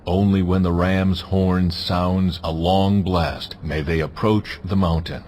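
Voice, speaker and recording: A man's voice: deep voice, fairly even intonation, a little mellow, slight background noise. A man playing a stern, majestic deity who gives solemn, authoritative commands in a dramatized scripture production. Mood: stern warning. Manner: solemn, authoritative, deliberate phrasing. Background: music.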